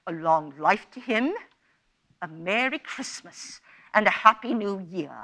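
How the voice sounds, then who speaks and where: Woman acting a tart Victorian mother, bright voice. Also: medium pitch, lively intonation, exaggerated voice. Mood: grudging, sarcastic. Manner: emphatic, tart, deliberate. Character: warm but indignant Victorian mother and wife. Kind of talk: radio play acting of a Victorian story.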